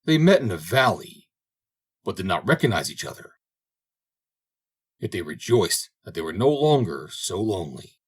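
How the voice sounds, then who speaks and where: A man reading a fairy tale aloud as scripted audiobook narration, in a dramatic, expressive storytelling manner. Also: sits low, normal speed, pauses often, breathy edge. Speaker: audiobook reader.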